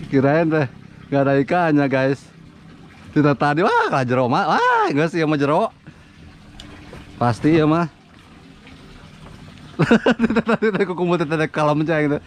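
A man in his thirties with a Sundanese accent, giving excited live commentary while fishing outdoors at a pond, often laughing and yelping. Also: a little high, unhurried, long pauses, a little hiss or hum. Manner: excitable, laughing, yelps when fish bite. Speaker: fishing vlogger.

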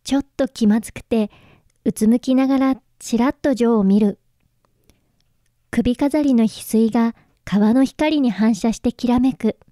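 A woman's voice: fairly high pitch, moderate pace, dark tone, no room sound, studio quality, close to an everyday voice. An actress playing a shy, lovelorn young woman, wistfully narrating and speaking in a radio drama. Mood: flustered. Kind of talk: radio drama acting with first-person narration. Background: faint instrumental music.

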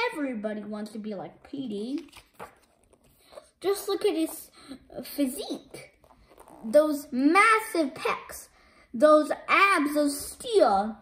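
A woman's voice: very high-pitched, a bit bright, echoey room, exaggerated voice. A woman voicing a boastful, scheming male villain cat in a bombastic, theatrical manner for a storybook.

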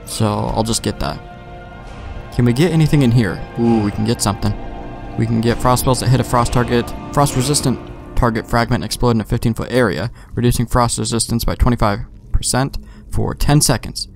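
Creaky-voiced man playing games. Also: mid-range voice, fairly quick. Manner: rambling, thinks aloud, mild swearing. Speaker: let's play host. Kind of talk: casual gameplay commentary. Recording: game music faintly behind. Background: faint game music.

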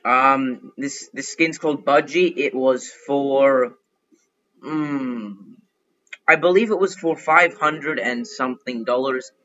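A young man giving chatty, excitable commentary while playing a game, thinking out loud as he goes. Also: mid-range voice, slow, highs cut off. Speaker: gaming youtuber. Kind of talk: casual gameplay commentary.

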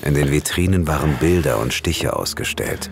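An actor playing an investigator who narrates his eerie case in a measured, suspenseful horror audio drama style. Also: very deep voice, animated, gravelly, slight background noise, exaggerated voice. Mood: composed suspense. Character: male investigator recounting his eerie case, tense and composed. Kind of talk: scripted first-person horror narration. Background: music.

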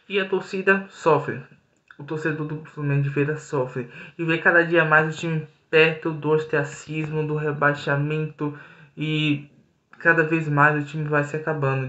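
A young man talks earnestly through his analysis of a match, often pausing and restarting his sentences. His voice is bright and a little high, recorded on a webcam in a small room with a noticeable echo. The audio sounds slightly dull. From Brazil. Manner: earnest, frequent hesitations and restarts. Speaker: football commentator. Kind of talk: solo football analysis to camera.

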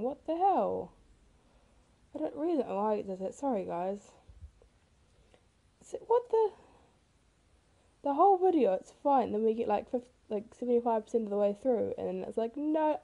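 Hoarse teenage girl chatting casually. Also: a little high, warm tone, lo-fi sound. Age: teens. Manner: chatty and self-deprecating, rambling with fillers. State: rough, hoarse voice. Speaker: art vlogger. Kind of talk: casual voice-over on a speed paint. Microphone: camera mic.

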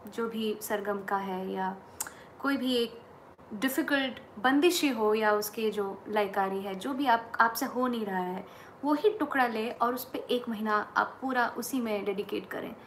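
A woman's voice: a little high, a little slow, a little hiss or hum. A woman in her thirties with an Indian accent, a singer giving thoughtful, earnest advice on practice, talking casually at a webcam in a small room. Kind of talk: casual talk mixing Hindi and English. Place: small indoor room against a plain wall.

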